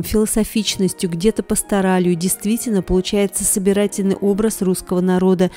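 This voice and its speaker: Brief: female news reporter, mellow dark tone, formal scripted voice-over. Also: mid-range voice. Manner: measured, formal read.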